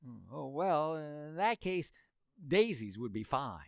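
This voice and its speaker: A man's voice: a little high, big pitch swings, slow, gravelly, no echo, clean studio sound, exaggerated voice. An actor playing a grumbling, hesitant teenage boy in an old-time radio comedy. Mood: sheepish, smitten. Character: Homer, grumbling, wary teenage boy, sweet on his girl.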